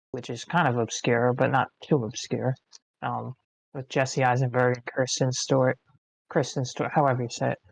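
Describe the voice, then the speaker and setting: A woman's voice: rumbling low, no echo, low-quality recording. A woman giving casual, tentative, rambling feedback in a group discussion. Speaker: writing group member giving script feedback. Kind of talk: casual chat.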